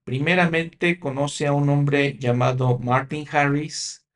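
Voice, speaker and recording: A man's voice: fairly high pitch, fairly even intonation, slow. Spanish-speaking man explaining scripture history. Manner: explanatory, with frequent "eh" fillers and restarts. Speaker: podcast host. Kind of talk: religious teaching talk.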